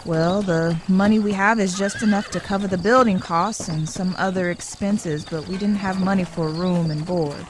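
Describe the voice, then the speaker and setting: An actress playing a polite, playfully coy young working woman in an Old West audio drama, speaking in a genteel, measured way. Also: lowish voice, steady tone, noisy background, lightly acted. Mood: earnest, matter-of-fact.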